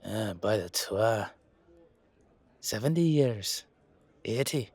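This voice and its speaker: A man's voice: fairly low pitch, lively intonation, slow pace, darkish tone, slightly rough, heavily acted. A man voicing a cheeky, centuries-old leprechaun with an Irish accent in a roleplay audio drama, blunt, roguish and teasing. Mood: warm, reminiscing.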